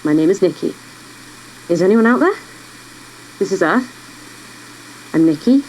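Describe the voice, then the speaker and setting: A woman's voice: lowish voice, expressive, a little slow, a little mellow, slight background noise, rough recording. A woman playing a lone person radioing out from Earth in an audio drama, calling out repeatedly in a projected voice. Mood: searching, calling out.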